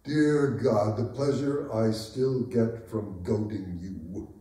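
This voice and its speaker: A man's voice: on the low side, slow pace, mellow. A man playing a smug, goading, sardonic medieval king and husband in a dramatic staged reading. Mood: smug, gloating. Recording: very echoey room, distant microphone.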